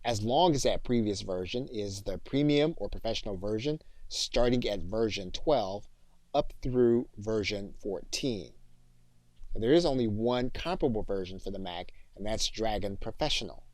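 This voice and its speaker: Male tutorial instructor. Lower register, measured and explanatory delivery, very dry recording. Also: animated, darkish tone, dry recording, low-quality recording. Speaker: course instructor.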